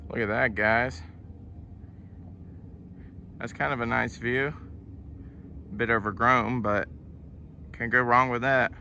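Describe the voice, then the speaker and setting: A man's voice: mid-range voice, steady tone, slow pace, vocal fry, long pauses, sharp tone, highs cut off, a little hiss or hum. A young man with a West Virginian accent, narrating a casual hiking vlog outdoors on a wooded trail, easygoing and chatty. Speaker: vlogger.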